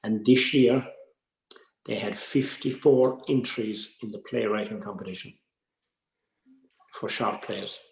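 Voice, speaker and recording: Gravelly, low-voiced Irish man. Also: slow pace, pauses often. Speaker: workshop speaker. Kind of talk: informal workshop talk. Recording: roomy, echoey sound.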